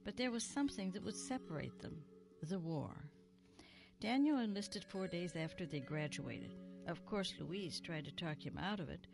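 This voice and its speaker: A woman playing a kindly elderly retired librarian in an audio drama, reminiscing gently and sorrowfully. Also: fairly low pitch, lively intonation, normal speed. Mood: wistful, sad. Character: kindly, wistful elderly female retired small-town librarian. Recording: music under the voice. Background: music.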